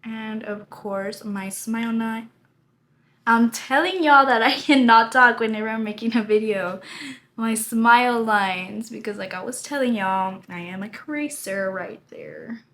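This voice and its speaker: A woman in her twenties, her voice raspy from a lost voice, chatting casually while doing her makeup, laughing often. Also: a little high, measured pace, fairly bright tone. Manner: chatty, rambling, laughs often, says y'all. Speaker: beauty vlogger. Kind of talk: casual get-ready-with-me chat.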